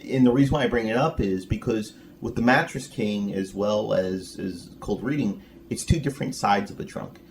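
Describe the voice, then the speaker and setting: Low-voiced admiring male interviewer, noisy room. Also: normal speed, few pauses, darkish tone. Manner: complimentary, fan-like, emphatic. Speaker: interviewer, podcast host. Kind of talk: casual interview questioning. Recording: faintly noisy room ambience.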